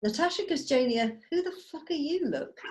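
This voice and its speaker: Animated woman narrating stage directions. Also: high voice, a little fast. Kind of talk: scripted narration. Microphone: video call.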